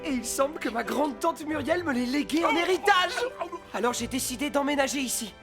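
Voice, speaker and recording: A woman's voice: high voice, animated, brisk, music in the background. A woman playing a spirited, take-charge young mail carrier in a French cartoon, emphatic and theatrical. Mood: delighted, grateful. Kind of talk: French animated series dubbing.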